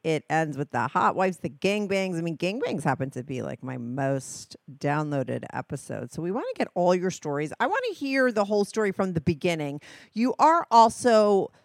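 A middle-aged woman hosting an interview, her voice on the lower side for a woman. She talks candidly and bluntly, laughs easily, swears, and sounds close and dry. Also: big pitch swings, normal speed, dry recording. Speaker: podcast host. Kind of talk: casual interview chat.

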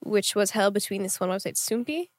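A woman's voice: mid-range voice, lively intonation, fairly quick, dry recording, studio quality. A young adult woman chatting as a guest, rambling with lots of fillers. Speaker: guest, singer. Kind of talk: casual chat.

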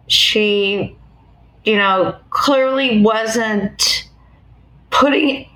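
A woman with an American accent answering interview questions as an attorney, in a measured, explanatory conversational way. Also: on the high side, sharp tone. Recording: echoey, roomy sound.

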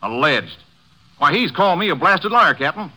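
A man's voice: fairly high pitch, big pitch swings, normal speed, bright, forceful tone, full character voice, rough recording. An actor in a 1950s radio drama playing an indignant, folksy working man who blusters in plain rustic grammar, on an old radio recording.

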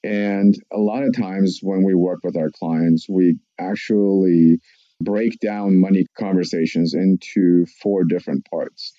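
A man from Russia, a psychologist giving measured, explanatory answers on a podcast. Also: sits low, fairly even intonation, dry recording, muffled recording. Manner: measured, explanatory, lists points.

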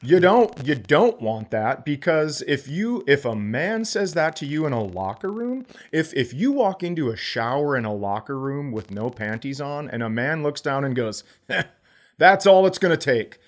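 A man's voice: on the high side, big pitch swings, normal speed. A man co-hosting a comedy podcast, riffing casually in a blunt, sardonic and profane way.

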